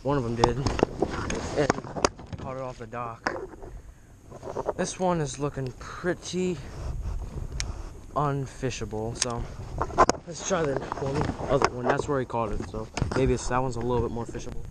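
A man's voice: mid-range voice, measured pace, pauses often. A teenage boy talking casually while fishing from a kayak, rambling with lots of fillers, recorded on a head-mounted camera outdoors. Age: teens. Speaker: fishing vlogger. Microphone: head-mounted action camera. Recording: outdoor noise on an action cam.

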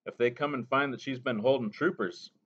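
A man's voice: medium pitch, a little fast, breathy edge, pitched above the performer's own voice. Man doing an earnest young soldier. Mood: worried. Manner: earnest, quiet. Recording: dull lo-fi recording.

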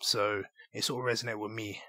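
A man's voice: on the low side, level tone, fairly quick, bright, forceful tone, dry recording. A young British man from southeast England, hosting his solo podcast in an earnest, reflective storytelling manner. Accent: British English. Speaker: podcast host.

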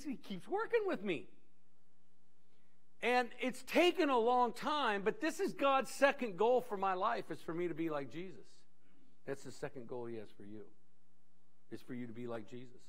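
A middle-aged man preaching a sermon on a church stage, animated, pacing with many restarts. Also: high voice. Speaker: pastor. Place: church stage in a large dim hall.

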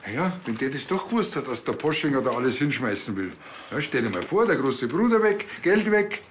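A man acting a chatty, easygoing Bavarian policeman in a TV crime comedy. His middle-pitched voice moves about freely, with some ambient noise behind it. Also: big pitch swings, brisk, natural voice. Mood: insistent. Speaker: policeman character.

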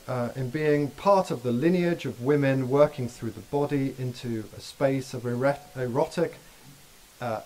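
A man giving a formal academic keynote in a dim lecture hall, reading dense scholarly prose at a lectern in a measured way. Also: medium pitch, a little mellow, a little hiss or hum. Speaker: keynote speaker.

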